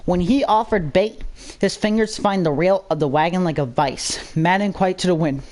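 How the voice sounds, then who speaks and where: A woman's voice: fairly low pitch, normal speed, a little mellow. A woman reading a scripted story aloud as a dramatic narrator.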